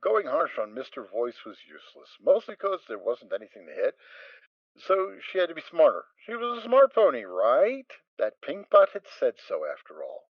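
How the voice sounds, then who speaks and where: A man narrating a dramatic reading of a story, steady storytelling with occasional stumbles. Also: medium pitch, normal speed, no room sound. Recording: dull lo-fi amateur mic with soft music.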